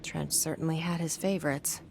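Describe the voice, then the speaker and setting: An actress playing a guarded young woman's inner thoughts in a video game, hushed, introspective and deadpan. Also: low-pitched, moderate pace, vocal fry, background noise. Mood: dry.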